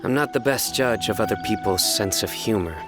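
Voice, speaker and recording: An actor playing a grave, restrained man in a video game, with a deliberate, serious delivery. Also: on the low side, over music, lightly acted. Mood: dry, modest. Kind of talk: video game character acting.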